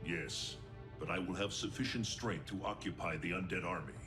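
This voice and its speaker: An actor playing a grim, solemn thunder god in a video game, speaking in a grave, formal, declamatory way. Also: male voice, low-pitched, normal speed, over music, lightly acted. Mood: grave, resolute. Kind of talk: dramatic video game acting.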